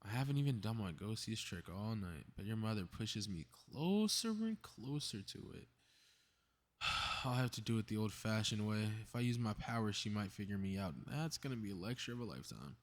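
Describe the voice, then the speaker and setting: A man's voice: fairly low pitch, very animated, fairly quick. A man acting a cocky, mischievous young male superhero in a scripted ASMR audio drama, muttering sarcastic, casually profane asides. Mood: smug, mischievous.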